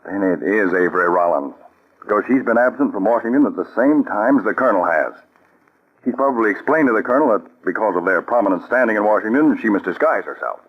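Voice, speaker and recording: A man's voice: fairly low pitch, expressive, fast talker, slightly rough. An actor playing a firm, authoritative male spy chief in a 1940s radio drama. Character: commanding male chief of counterspies. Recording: dull old radio broadcast.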